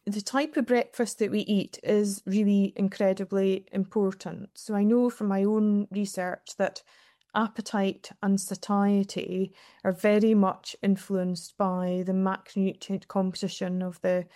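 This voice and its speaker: A woman with a mellow, quite flat delivery explains research as an expert guest. The recording is dry and close. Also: medium pitch, unhurried, dry recording. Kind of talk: explanatory interview answers.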